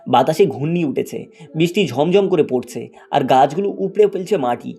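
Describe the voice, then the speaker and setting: Bright-voiced man telling a fairy tale. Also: fairly high pitch, lively intonation, normal speed, slightly rough. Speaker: storyteller, narrator. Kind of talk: scripted fairy-tale narration.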